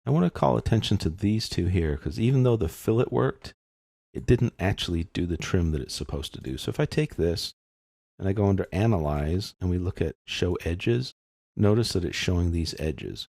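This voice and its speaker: Low, gravelly man narrating a software tutorial. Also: expressive, normal speed, dry recording, studio quality. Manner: informal, explanatory, thinking aloud. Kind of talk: unscripted step-by-step screen tutorial narration.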